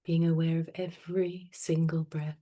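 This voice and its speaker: A woman meditation teacher with a low, mellow voice leads a guided practice. Her delivery is soft and soothing, staying very even in pitch, with long, unhurried pauses between instructions.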